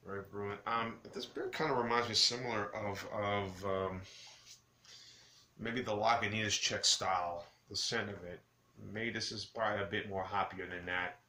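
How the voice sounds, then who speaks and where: A man hosting a casual, rambling beer review, speaking haltingly and often correcting himself. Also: fairly low pitch, fairly even intonation, bright, forceful tone. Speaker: beer review vlogger, host. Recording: roomy echo with clear background noise.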